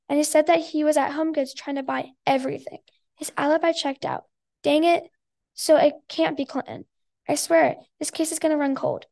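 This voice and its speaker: A girl of about eleven reads her own written story aloud. Her voice is very high, with a noticeable crackly fry running through it. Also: a little mellow. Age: child, about eleven or twelve. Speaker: sixth grade student guest.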